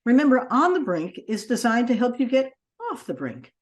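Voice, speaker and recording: A woman hosting a podcast, introducing her guest with an enthusiastic, expressive delivery. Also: a little high, normal speed. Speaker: podcast host.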